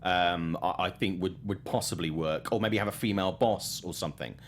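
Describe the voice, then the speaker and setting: A man's voice: low-pitched, fairly quick, slight background noise. A man hosting a talk show, giving conversational film commentary and thinking aloud as he goes.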